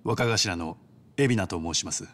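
A man voicing a polite, calculating yakuza underboss in a video game, speaking formally and with cool composure. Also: deep voice. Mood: composed, deferential. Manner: formal, courteous, measured business-like speech. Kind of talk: dramatic video game voice acting.

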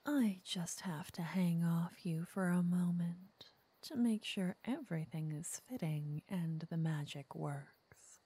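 Breathy, flirty witch, voiced by a woman. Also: fairly low pitch, slow pace, hardly any pauses, heavily acted. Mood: coaxing, intimate. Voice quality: soft, breathy, often whispering. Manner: flirtatious, coaxing, intimate. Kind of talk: ASMR roleplay. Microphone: close microphone.

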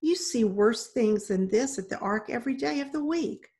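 A woman voicing a concerned boy who coaxes his friend in a hushed way, in a storybook reading. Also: fairly high pitch, lively intonation, normal speed, pitched above the performer's own voice. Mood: hushed, consoling. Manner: coaxing, hushed.

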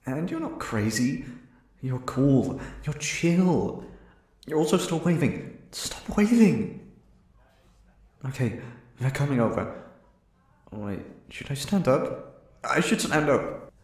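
A man's voice: medium pitch, very animated, slow pace, long pauses, darkish tone. Man acting as a flustered shy young guy, roomy sound. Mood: panicked, awed. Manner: nervous, rambling, stammering. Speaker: voice actor. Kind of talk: ASMR roleplay acting.

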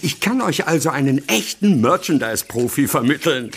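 An actor playing a cheery, relaxed middle-aged organic-farmer dad in a German audio drama. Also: male voice, mid-range voice, moderate pace, a little hiss or hum. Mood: helpful.

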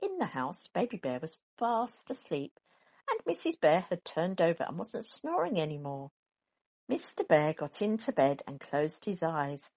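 A woman reading a children's picture book aloud in a deliberate, animated storytelling manner. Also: on the low side. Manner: deliberate, animated, with character sounds. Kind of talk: expressive children's story narration.

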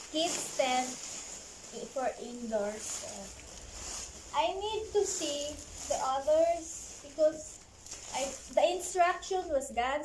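High giggly Filipino woman in echoey room. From Philippines. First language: Tagalog. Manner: giggly, searching for words, halting English. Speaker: vlogger. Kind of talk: casual home vlog. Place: living room at home, indoors. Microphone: camera across the room. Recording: echoey room with faint noise.

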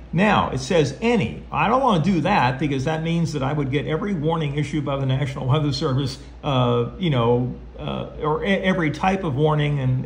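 A man giving a casual how-to walkthrough in a folksy way, talking himself through each step. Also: a little high. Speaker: presenter of how-to video. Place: indoors at home, beside a tabletop radio. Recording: clearly noisy home recording with clicks.

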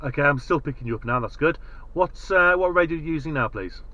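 British man vlogging over loud car noise. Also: a little high, expressive, quick pace. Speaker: vlogger testing two-way radios. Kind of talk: casual vlog commentary while driving. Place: inside a car. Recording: dull sound, loud car and road noise. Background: car engine and road noise.

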